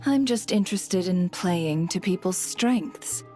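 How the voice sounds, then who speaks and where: A woman voicing a poised, shrewd female guild leader in a video game, composed, deliberate and businesslike. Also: on the low side, expressive, warm tone. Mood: smooth persuading. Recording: music behind the voice.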